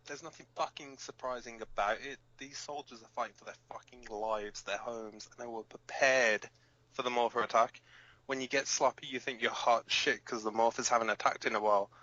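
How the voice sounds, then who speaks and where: A man's voice: medium pitch, steady tone, a little fast, bright, forceful tone, no room sound, muffled recording, natural voice. A man playing a hot-headed, protective resistance fighter who hosts a rebel radio broadcast in an audio drama, blunt, emphatic and given to swearing. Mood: indignant, heated.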